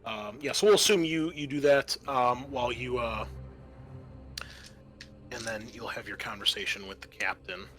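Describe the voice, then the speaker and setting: A man narrating a tabletop roleplaying game as game master, explaining things in a halting, improvised way. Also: mid-range voice, fairly bright tone.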